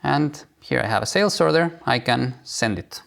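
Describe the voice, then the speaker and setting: A man presenting a live software demo, explaining it informally step by step. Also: medium pitch, animated, moderate pace, creaky.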